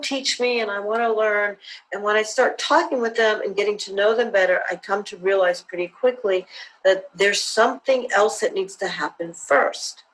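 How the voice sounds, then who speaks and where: A woman and self-defense teacher, speaking as a guest in an online interview in an earnest, measured, motivational way. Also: medium pitch, low-quality recording.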